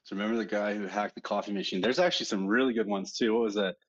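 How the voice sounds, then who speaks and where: A man's voice: fairly low pitch, fairly even intonation, rapid-fire, muffled recording. A man co-hosting a live security stream, speaking casually with lots of fillers and repeated words. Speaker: threat researcher co-host.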